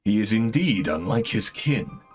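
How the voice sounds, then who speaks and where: A man's voice: fairly low pitch, very animated, measured pace, slightly rough, faint music behind, exaggerated voice. Man voicing an ancient solemn dragon. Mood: grave. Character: ancient solemn dragon speaking archaic words.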